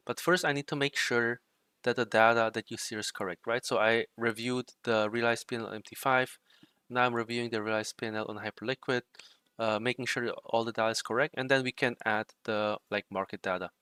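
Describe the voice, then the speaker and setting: A young man with a bright, crisp voice talks loosely to his livestream viewers, filling his sentences with "like" and "right?". He sounds dry and close, with no room around him. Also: on the low side, no room sound. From Czech Republic. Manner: relaxed, rambling, frequent fillers like "like" and "right". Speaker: livestream host and trading bot developer. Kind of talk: casual livestream chat while coding.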